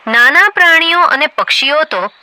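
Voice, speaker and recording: A woman narrating a children's cartoon story in a clear storytelling manner. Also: sits high, animated, moderate pace, pushed and bright, dry recording, low-quality recording. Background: background music.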